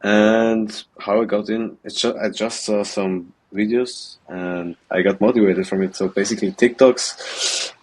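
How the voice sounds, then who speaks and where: An eighteen-year-old man with an Austrian German accent, answering in a remote interview, halting and hesitant with many ums, on a video call. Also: fairly low pitch, fairly even intonation, slightly rough, poor audio. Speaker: guest, student trader.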